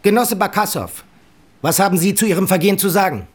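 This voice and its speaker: An actor playing a stern, authoritarian party secretary who chairs a tribunal in a curt, formal way, in a radio drama. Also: male voice, high-pitched, animated, a little fast, slight background noise. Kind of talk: German radio drama acting.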